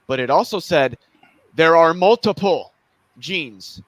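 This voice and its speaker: A man with a somewhat high voice talks bluntly and indignantly, swearing freely in a casual chat. The sound is dry and close to the mic. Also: expressive, takes it slowly, fairly bright tone, dry recording, rough recording. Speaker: co-host of a debate review stream.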